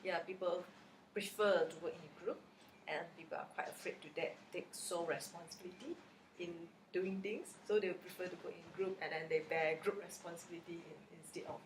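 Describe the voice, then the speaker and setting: A woman's voice: on the low side, steady tone, a little fast. Malaysian woman in an echoey room. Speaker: interviewee, college lecturer. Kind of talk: casual interview answers. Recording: roomy echo, background noise.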